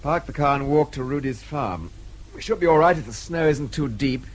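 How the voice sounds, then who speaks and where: A man's voice: mid-range voice, animated, moderate pace, slight background noise, natural voice. An actor playing a dry-witted, resourceful Englishman on the run in a radio drama, with a clipped, understated English delivery. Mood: wry. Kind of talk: BBC radio drama acting.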